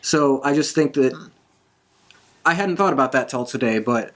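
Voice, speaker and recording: A man's voice: medium pitch, expressive, moderate pace, a bit bright. A man hosting a podcast, giving predictions in a casual, conversational way as he thinks aloud. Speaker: podcast host. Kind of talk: casual wrestling prediction talk.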